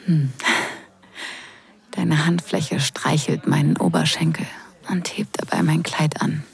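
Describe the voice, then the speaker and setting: A woman acting in an intimate audio drama as a teasing wedding guest who is drawn in, breathy and hushed. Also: low voice, heavily acted. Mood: sensual, breathy. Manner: breathy, hushed, slow and sensual delivery.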